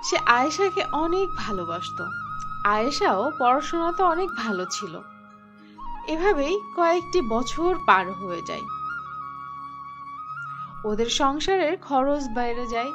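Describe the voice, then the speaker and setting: Female narrator for cartoon fairy tales, high-pitched, animated intonation, faint music behind. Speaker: story narrator.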